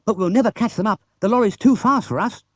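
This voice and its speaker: A man voicing an eager, earnest, excitable vintage car owner who exclaims his lines in a storybook reading. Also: high voice, fast, voice pushed up. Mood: alarmed. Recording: dull, roomy old cassette tape.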